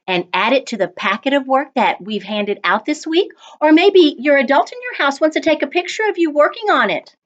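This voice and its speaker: A woman reading coach reading a children's story aloud in an expressive, deliberate storytelling manner. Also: high voice. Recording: dull lo-fi online recording.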